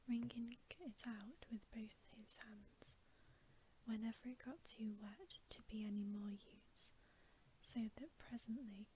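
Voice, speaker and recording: A woman's voice: fairly high pitch, dark tone, rough recording. A woman narrating a bedtime storybook in an ASMR whisper. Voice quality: unvoiced whisper. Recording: faint background hiss.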